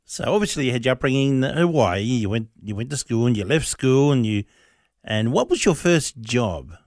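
A man's voice: medium pitch, lively intonation, normal speed, darkish tone, dry recording, clean studio sound. A man hosting a radio interview in a chatty, friendly way. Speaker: radio show producer and stand-in host.

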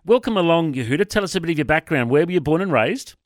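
A man's voice: a little high, lively intonation, fast talker, no room sound, clean studio sound. A man hosting a radio interview who keeps things moving with short, simple questions. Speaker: radio show host.

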